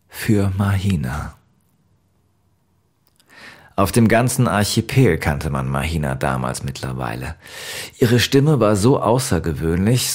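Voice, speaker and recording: A man narrating a first-person story from a script in a measured, wistful way. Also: sits low. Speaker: audiobook narrator.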